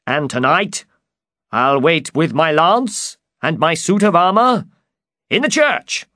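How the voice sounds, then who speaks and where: A man's voice: sits high, very animated, takes it slowly, fairly bright tone, dry recording, clean studio sound, pitched above the performer's own voice, heavily acted. A man voicing an eager, pompous old would-be knight in a storybook, speaking with earnest chivalry. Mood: proud, excited. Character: eager, grandiose old man who believes he's a knight. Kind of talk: dramatized storybook reading.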